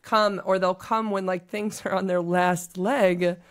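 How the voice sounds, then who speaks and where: A hoarse woman therapist chatting casually on a podcast, relaxed and joking, with many filler words. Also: mid-range voice, unhurried. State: hoarse, losing voice. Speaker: therapist guest.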